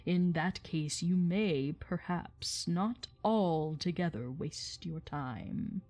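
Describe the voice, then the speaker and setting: A woman voicing a boastful, menacing old male dragon in a storybook reading, with slow, drawn-out, emphatic speech. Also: lowish voice, darkish tone. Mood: mocking.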